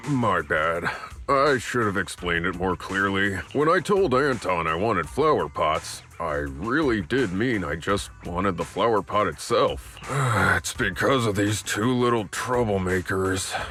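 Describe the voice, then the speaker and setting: A man voicing a gruff but considerate, awkwardly polite workman in a video game. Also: low voice, expressive, a little slow, bright, forceful tone, faint music behind, full character voice. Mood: apologetic, explaining. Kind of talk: video game character acting.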